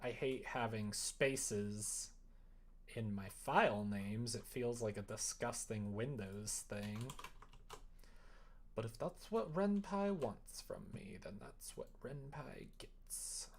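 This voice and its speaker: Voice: mid-range voice, takes it slowly. A livestream host thinking aloud casually while working at a computer, muttering and trailing off mid-thought. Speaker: livestream host coding a visual novel. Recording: noisy room, keyboard typing and clicks. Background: keyboard typing, mouse clicks.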